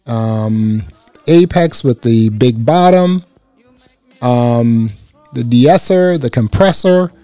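Raspy man, chatty gear review. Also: mid-range voice, slow, no room sound, faint music behind. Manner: relaxed, chatty, many "you know" fillers. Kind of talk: casual product review to camera. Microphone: close dynamic microphone through a processor.

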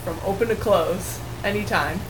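A woman's voice: medium pitch, moderate pace. A young woman, a manual osteopath and Polish native speaker, chatting casually in an interview, friendly and a bit hesitant, with restarts. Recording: noisy room ambience.

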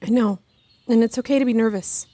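An actress playing a calm, supportive counselor friend in an audio drama, coaxing in a measured, soothing manner. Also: female voice, fairly high pitch, close to an everyday voice. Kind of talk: fiction podcast audio drama acting.

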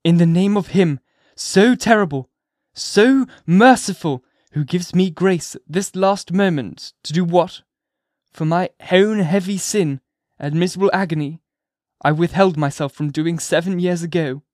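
A man's voice: sits high, a little slow, darkish tone, dry recording, clean studio sound, pitched above the performer's own voice. A man voicing a frail, anguished minister who pleads in a raised, impassioned voice, reading an old novel aloud. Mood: fervent pleading. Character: frail anguished male minister, pious and fervent.

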